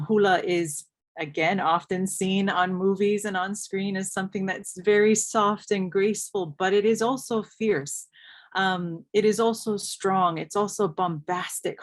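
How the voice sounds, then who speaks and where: A woman from Hawaii speaking articulately as a panelist, reflecting personally and building emphatic lists. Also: mid-range voice. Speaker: panelist, scholar.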